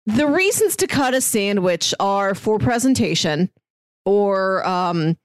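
A woman co-hosting a casual chat show, playfully arguing and explaining her reasoning in relaxed banter. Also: medium pitch, takes it slowly, no room sound. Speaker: podcast co-host.

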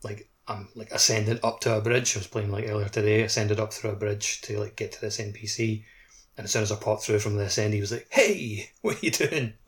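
A man's voice: fairly low pitch, brisk. A man co-hosting a casual gaming chat, talking loosely and circling back with lots of filler likes. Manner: hesitant, conversational, peppered with "like". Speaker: podcast host. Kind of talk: casual video game discussion.

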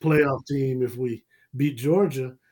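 A man's voice: medium pitch, fairly even intonation, takes it slowly, mellow. A man, chatting casually about sports, rambling and laughing often. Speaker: podcast guest.

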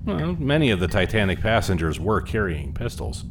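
Low-voiced curious questioner, male. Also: big pitch swings, normal speed, noisy background. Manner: conversational, gently probing. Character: curious, polite male listener questioning an old man. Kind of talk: audio drama dialogue. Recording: music under the voice.

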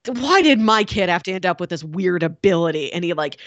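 A woman with highly varied intonation chats casually as a film co-host. She retells scenes with animation, slipping into characters' lines, and the sound around her voice is dry. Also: lively intonation, fairly quick, a bit bright, no echo, muffled recording.

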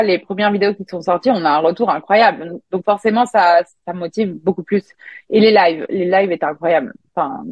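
A woman's voice: lowish voice, darkish tone, dry recording. Woman, singer, casual chat, dull lo-fi sound, dry. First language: French. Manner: chatty, many restarts and fillers. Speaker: singer and songwriter.

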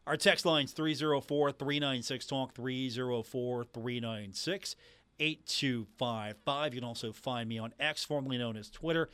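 A man's voice: medium pitch, a bit bright, smooth, no room sound. A man hosting sports radio, talking casually and emphatically to listeners on a close studio mic. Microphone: close studio microphone.

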